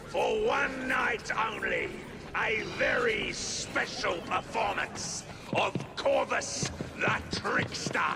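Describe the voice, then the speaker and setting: An actor playing a mad, theatrical video game villain with a grandiose, drawn-out showman's delivery. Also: high-pitched, vocal fry, heavily acted. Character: flamboyant, mad theatrical villain hosting a murderous show. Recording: music behind the voice.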